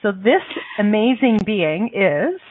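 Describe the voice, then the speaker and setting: A woman radio host and life coach chatting casually with her guest, effusive and a little halting. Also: medium pitch, sing-song. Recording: tinny phone line.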